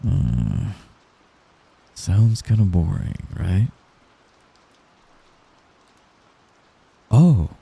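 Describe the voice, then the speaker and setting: A man playing a young mafia heir in an intimate ASMR roleplay, a gentle, hesitant and possessive friend who gives orders apologetically. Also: deep voice, vocal fry, slightly rough, full character voice. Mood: hesitant, surprised. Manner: soft-spoken, halting, apologetic while giving orders.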